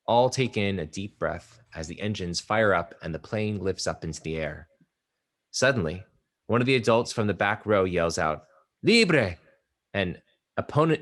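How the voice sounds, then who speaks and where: Deep-voiced man reading stage directions. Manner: measured, descriptive. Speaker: narrator.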